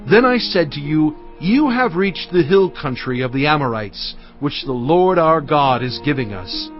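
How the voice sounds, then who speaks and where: Earnest biblical leader, oratorical, lively intonation, music underneath, scripture drama. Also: male voice, fairly high pitch, a little slow. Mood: earnest, recounting. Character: Moses, fatherly, earnest leader exhorting his people. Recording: dull sound with music underneath.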